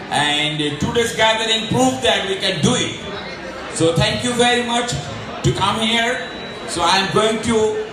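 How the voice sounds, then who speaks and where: High-voiced man presenting an award. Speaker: organization director presenting an award. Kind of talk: formal ceremony remarks. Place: large indoor event hall. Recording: echoey hall with crowd noise. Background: crowd noise.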